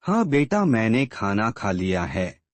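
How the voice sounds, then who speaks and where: A man playing an ailing, gentle old father in a cartoon moral story, earnest and emotive. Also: lowish voice, very animated, unhurried, no echo. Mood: caring.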